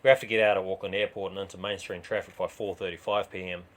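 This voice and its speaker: A man narrates a crime story he wrote. His voice sits low, and he tells it in the first person as a dramatised reading. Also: flat delivery, fast, a bit bright.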